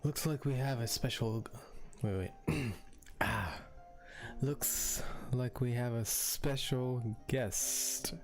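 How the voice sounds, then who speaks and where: A man's voice: medium pitch, takes it slowly. A man giving casual let's play commentary as a game streamer, with muttered asides. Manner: muttering asides, throat clearing. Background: game music.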